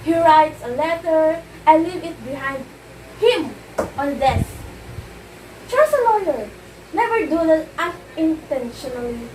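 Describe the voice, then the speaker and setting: Echoey, high-voiced worldly stage diva, acted by a woman. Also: lively intonation. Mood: scornful, amused. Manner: theatrical, lofty, drawn-out emphasis. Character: worldly, self-possessed stage actress, teasing and condescending. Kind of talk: amateur one-act stage play acting. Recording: echoey, distant room recording with loud noise.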